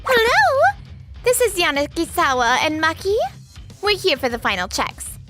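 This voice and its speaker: A woman's voice: very high-pitched, wildly swooping pitch, moderate pace, music in the background, full character voice. A woman playing a self-centred, breezy young fiancée in a manga dub. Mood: entitled.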